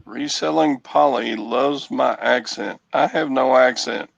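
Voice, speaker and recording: A man with a Texan accent chatting casually on a video call livestream, joking dryly and reading aloud in a flat way. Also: mid-range voice, measured pace, vocal fry, dry recording. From Texas. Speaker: reseller guest. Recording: dull lo-fi video call audio.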